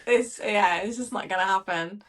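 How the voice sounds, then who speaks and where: A woman from Syria, a coach who is a guest on a podcast, talking in a chatty, animated way with plenty of fillers. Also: mid-range voice, normal speed, slight background noise. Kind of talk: casual interview chat.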